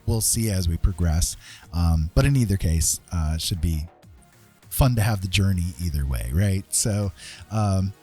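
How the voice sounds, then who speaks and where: A man chatting casually as he hosts an art livestream, rambling along in a self-deprecating, folksy way with a husky voice. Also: low-pitched, lively intonation, a little slow, mellow, soft background music. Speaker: livestream host and digital artist. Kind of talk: casual livestream chat while drawing.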